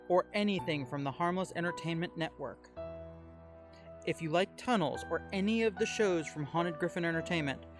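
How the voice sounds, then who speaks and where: High-pitched male podcast announcer over music. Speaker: announcer reading podcast credits. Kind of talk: scripted narration, promotional outro.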